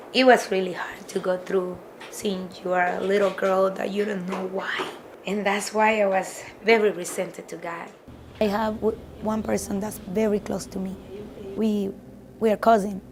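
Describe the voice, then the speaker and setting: Woman, Salvadoran accent, fifties, native Spanish speaker, heartfelt and reflective, mid-pitched voice, faint background noise. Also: gravelly. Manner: heartfelt, reflective, often restarting phrases. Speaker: barber shop owner. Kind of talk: documentary interview.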